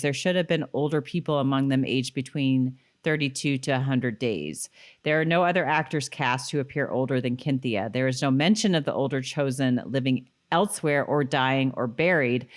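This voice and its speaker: A woman co-hosting a podcast, chatting casually and reading trivia notes aloud. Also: extremely low pitch. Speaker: podcast co-host.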